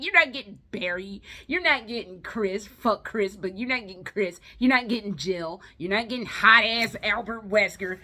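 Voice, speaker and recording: A woman's voice: a little high, sharp tone. A woman giving a casual, ranting movie review as a vlogger, blunt, exasperated and emphatic, swearing heavily. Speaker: video game and movie vlogger.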